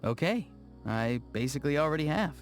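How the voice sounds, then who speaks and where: A man voicing an awkward, earnest young video game character, speaking in a low-key, hesitant way. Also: expressive, fairly quick, natural voice. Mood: relaxed, reassuring. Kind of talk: scripted video game dialogue. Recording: music behind the voice. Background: faint background music.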